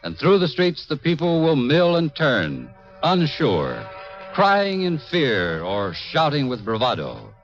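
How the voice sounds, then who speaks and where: Old time radio drama narrator, grave but expressive, faint music behind, muffled. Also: male voice, a little high, takes it slowly, exaggerated voice.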